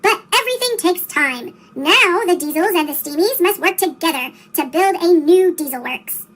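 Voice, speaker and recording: A woman voicing a cross, stern male railway boss in a children's storybook, measured, through a sped-up chipmunk effect. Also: very high-pitched, animated, a bit bright.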